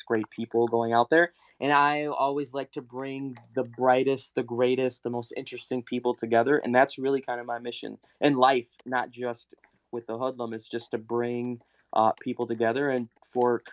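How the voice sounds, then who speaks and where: A man hosting a live audio call, talking earnestly and haltingly, with many restarts. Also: mid-range voice, no room sound, studio quality. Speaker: community host.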